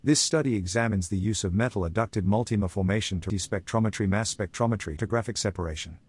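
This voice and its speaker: A man's voice: fairly low pitch, fast talker, darkish tone, husky. A British male synthetic voice reading scientific text aloud. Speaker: text-to-speech reader.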